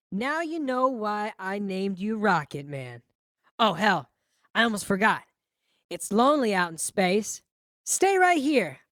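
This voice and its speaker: Woman as a slow, high-voiced vengeful boy. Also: dry recording, studio quality. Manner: taunting, drawn-out delivery. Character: vengeful Southern boy, gleefully cruel and mocking. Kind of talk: audiobook character acting.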